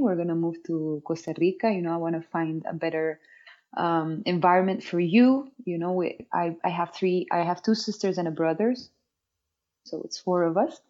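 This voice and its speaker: Low-voiced woman with dull lo-fi audio. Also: mellow. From Lebanon. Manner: rambling, many fillers and restarts. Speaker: interview guest, dancer. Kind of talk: casual interview storytelling.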